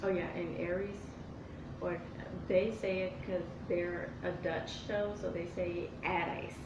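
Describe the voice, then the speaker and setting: A woman's voice: fairly low pitch, little pitch movement, unhurried, far from the mic. A young woman chatting casually in a vlog, answering viewer questions, meandering with lots of fillers and restarts. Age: young adult. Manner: meandering, with many fillers and self-corrections. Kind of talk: casual rambling vlog chat. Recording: echoey room, off-mic, noisy.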